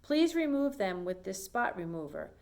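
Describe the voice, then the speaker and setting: A woman's voice: medium pitch, big pitch swings, normal speed, darkish tone, natural voice. Woman reading as a busy, polite lady of the house. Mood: hurried, instructing. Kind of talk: children's storybook character reading.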